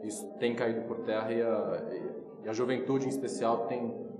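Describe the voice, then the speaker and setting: A man's voice: medium pitch, level tone, normal speed. A Brazilian man commenting conversationally as an interviewer. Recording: echoey reverberant room.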